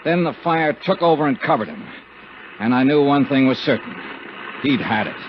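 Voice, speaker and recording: An actor playing a tough, cynical American investigator in a 1950s radio drama, clipped, slangy and sardonic, both narrating and in dialogue. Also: male voice, mid-range voice, big pitch swings, moderate pace, natural voice. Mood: grim narration. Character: hard-boiled male investigator, cynical, tough and world-weary. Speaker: lead actor and narrator of a radio detective serial. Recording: muffled, noisy 1950s radio recording.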